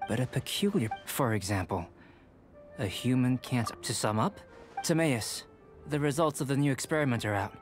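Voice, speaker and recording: An actor playing a calm, detached young male alchemist in a video game, speaking in a measured, scholarly way. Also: mid-range voice, soft background music, close to an everyday voice. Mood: composed, clinical.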